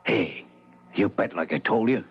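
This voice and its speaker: A man's voice: on the low side, measured pace, husky, soft background music, exaggerated voice. An actor playing an apologetic, slangy small-time gambler pleading his case in an old-time radio comedy. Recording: muffled old radio broadcast.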